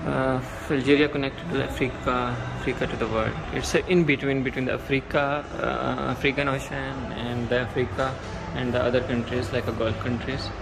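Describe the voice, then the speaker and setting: Man vlogging with halting, uh-filled talk. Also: mid-range voice, little pitch movement, creaky, very noisy. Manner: halting, many uh fillers, non-native phrasing. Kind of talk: casual walk-through vlog. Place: exhibition pavilion, indoors.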